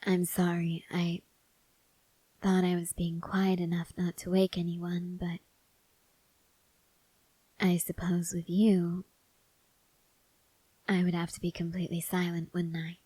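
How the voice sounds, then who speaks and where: A woman playing a stoic, devoted young woman soldier in an intimate ASMR roleplay, speaking softly and hesitantly, often half-whispering. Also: fairly low pitch, monotone, slow, long pauses, breathy edge, no room sound, low-quality recording. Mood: hushed, reluctant. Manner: hushed, hesitant, gently reserved.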